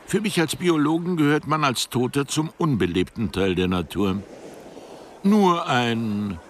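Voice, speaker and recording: An actor playing an eccentric, precise biologist who speaks in a deliberate, philosophical way in a German radio play. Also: male voice, mid-range voice, sing-song, a little hiss or hum. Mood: coolly musing. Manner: deliberate, pedantic, musing.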